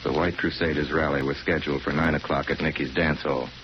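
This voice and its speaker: Deep-voiced man narrating an old radio drama. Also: fairly even intonation, fairly quick. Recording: muffled 1941 radio recording, faint noise.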